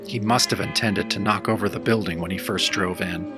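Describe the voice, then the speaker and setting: A man's voice: low voice, steady tone, fairly quick, music in the background, close to an everyday voice. An actor playing a battered, wry tough-guy hero, narrating his own pulp horror tale in a radio-style audio drama. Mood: wry, weary. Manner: wry, hard-boiled first-person storytelling.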